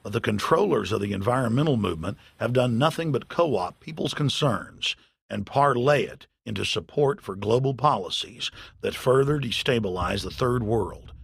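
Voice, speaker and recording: A man with a gravelly voice reading scripted, accusatory documentary narration in a forceful way. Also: medium pitch, expressive, normal speed, dry recording, studio quality. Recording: voice-over with clear background noise.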